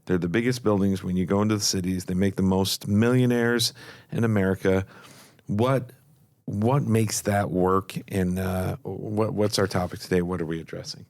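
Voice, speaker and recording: A man with a very low, gravelly and raspy voice, co-hosting a podcast in relaxed banter. He jokes and laughs a lot, and the close studio mic sounds dry. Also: normal speed, no room sound. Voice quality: raspy from shouting. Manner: playful, laughs often, joking. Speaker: podcast co-host. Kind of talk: casual podcast chat.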